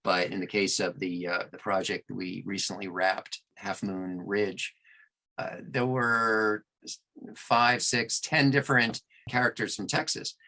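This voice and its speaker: Male interview guest, lower register, clear forward brightness, deliberate explainer talking shop. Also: steady tone, poor audio. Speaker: audiobook narrator and producer, podcast guest. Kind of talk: conversational interview answers.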